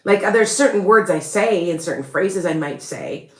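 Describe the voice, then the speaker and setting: A woman's voice: fairly low pitch, animated, normal speed, reverberant. A woman with a Chicago accent, hosting a rambling, chatty live stream as a psychic reader and laughing often. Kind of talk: casual live-stream chat.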